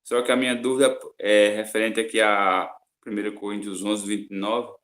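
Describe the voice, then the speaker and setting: A man's voice: on the low side, flat delivery, normal speed, a bit bright. A man, a friendly participant asking a Bible question and searching for his words.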